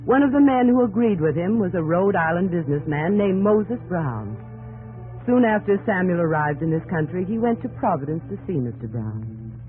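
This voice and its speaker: Gentle young woman, low female voice, measured storytelling, old radio drama, music behind. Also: very animated, low-quality recording. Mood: earnest, wistful. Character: gentle, sympathetic young New England woman recalling the past. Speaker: narrator and actress. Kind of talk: 1950s radio drama acting.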